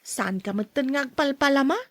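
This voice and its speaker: Radio drama ingenue played by a woman: polite, modest Ilocano daughter, very high voice. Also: normal speed, no echo, natural voice. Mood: playful, agreeable. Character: polite, modest young working daughter, shyly courted.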